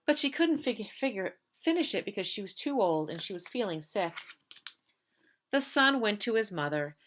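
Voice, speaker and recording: A woman's voice: mid-range voice, very animated, normal speed, a bit bright, a little hiss or hum. A woman reading a picture book aloud to young pupils as a member of school staff, lingering on repeated phrases. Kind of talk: children's storybook read-aloud.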